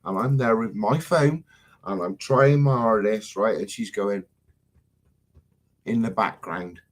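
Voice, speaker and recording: Husky man, rambling and sweary. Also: fairly low pitch, takes it slowly. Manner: rambling, swears often, loses his thread. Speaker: host of a live stream. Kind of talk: casual live-stream chat.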